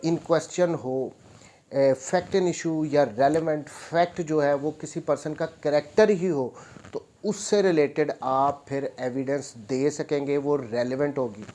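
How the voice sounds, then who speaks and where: A man's voice: fairly high pitch, a little mellow. A man with a Pakistani accent lecturing on law in an explanatory, didactic way, mixing in English legal terms and repeating key points for emphasis.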